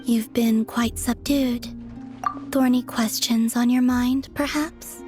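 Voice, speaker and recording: An actress playing a serene, otherworldly moon maiden in a video game, speaking softly in a measured, formal, detached way. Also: female voice, a little high, steady tone, over music. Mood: pensive, grave.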